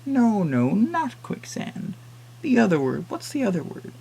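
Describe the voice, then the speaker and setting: Man doing a fussy, high-voiced old woman. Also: huge pitch swings, unhurried, vocal fry, dark tone, breathy edge, heavily acted. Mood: flustered.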